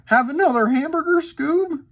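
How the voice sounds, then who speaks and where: A man voicing a goofy, excitable young man from a cartoon gang in a storybook. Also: extremely high pitch, normal speed, pitched above the performer's own voice. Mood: excited.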